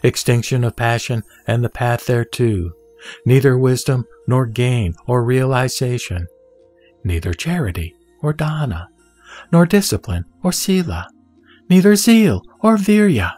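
A man reciting a sutra as a solemn scripted reading, in measured, deliberate phrases with pauses. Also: medium pitch, lively intonation, a little mellow, dry recording. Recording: background music under the voice. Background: music.

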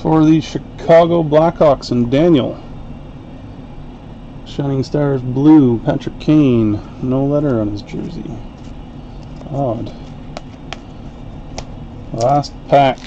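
A man hosting a live card break, calling out each card in an unhurried, chatty way. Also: medium pitch, mellow, highs cut off, slight background noise. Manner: unhurried, chatty play-by-play while opening packs. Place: home card-break room, indoors.

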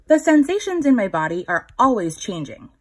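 A woman's voice: a little high, very animated, slightly rough. Woman reading a picture book to children. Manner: instructive, pausing to prompt listeners with questions. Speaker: children's story time reader.

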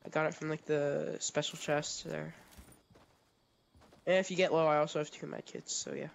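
A young man, a streamer recovering from a cold, chatting casually and haltingly while he plays, with lots of fillers and restarts. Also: a little high, fairly even intonation, creaky, lo-fi sound. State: sniffly, getting over a cold. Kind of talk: casual gaming chat.

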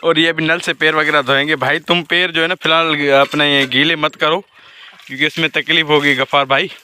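A man's voice: on the high side, little pitch movement, brisk, bright, forceful tone, slight background noise. A man in his thirties from Pakistan vlogging casually outdoors in a village, walking with a phone held at arm's length and teasing his friends. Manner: chatty, walks and points out surroundings, teases friends. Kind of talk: casual vlog narration. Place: outdoors in a village among fields. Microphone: phone held at arm's length, selfie framing.

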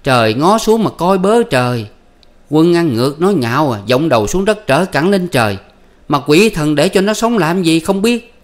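A man voicing a sly, foul-mouthed peasant wife who scolds and curses in a high, loud voice. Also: expressive, moderate pace, lightly acted. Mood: scolding, cursing. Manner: shrill scolding and cursing. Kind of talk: storybook reading.

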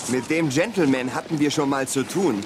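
An actor playing an eager, cheeky young deputy in a children's Wild West radio play, excitable and impatient. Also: male voice, medium pitch, moderate pace, noisy background, natural voice. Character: eager, boyish, boastful young sheriff's deputy.

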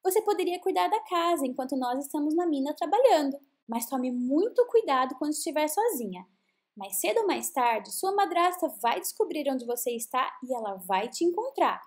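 A woman's voice: sits high, sing-song, normal speed. A woman voicing a kindly old male dwarf who speaks in a caring, cautioning way.

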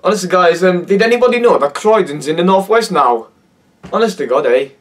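A hoarse teenage young man with a Cumbrian accent, chatting and laughing in a casual reaction vlog from his bedroom. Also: high voice, animated, a little fast, distant mic. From Cumbria, England. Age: teens. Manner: laughing, swearing, incredulous. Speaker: YouTuber. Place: bedroom, indoors. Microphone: webcam at desk.

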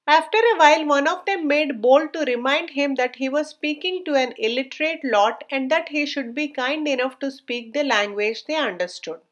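A woman narrating a scripted story aloud in a measured, careful storybook manner. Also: high-pitched.

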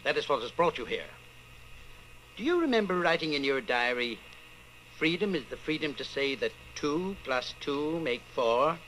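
An actor playing a coldly patient, menacing party inquisitor in old-time radio style, measured, condescending and commanding. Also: male voice, a little high, sing-song, a bit bright, slight background noise, poor audio. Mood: controlled menace.

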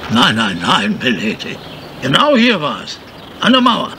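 An actor playing an obliging, rustic old gardener in a German radio crime drama, folksy and deferential, often repeating himself. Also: male voice, mid-range voice, wildly swooping pitch, a little slow. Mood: chatty, insistent. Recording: noisy vintage radio recording with outdoor ambience.

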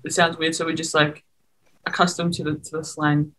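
A woman's voice: low voice, flat delivery, brisk, fairly bright tone, rough recording. A young Australian woman, a college basketball player, chatting playfully over a video call. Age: young adult. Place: small room, indoors.

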